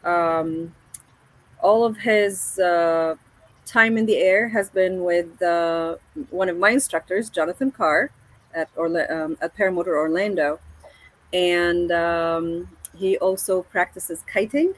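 A woman, a paramotor pilot speaking as an interview guest, hesitant with many pauses and restarts. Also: fairly low pitch, no echo. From Egypt. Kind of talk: casual video-call interview.

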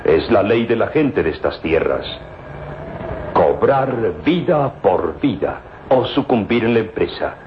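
A brooding father and tomb explorer in a vintage radio serial. His low voice is deliberate and theatrical. The recording is dull, with faint noise. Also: sing-song. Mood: solemn. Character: older father, grave, pensive tomb explorer. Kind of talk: old radio drama acting.

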